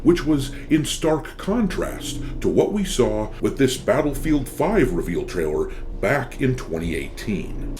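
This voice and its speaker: Mellow, animated man giving blunt commentary. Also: medium pitch, a little slow, a little hiss or hum. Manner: blunt, sardonic, profane. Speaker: host of a gaming commentary channel. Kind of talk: scripted opinion commentary.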